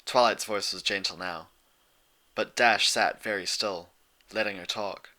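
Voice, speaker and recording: A man reading fiction aloud as an expressive scripted story narrator. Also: on the low side, unhurried.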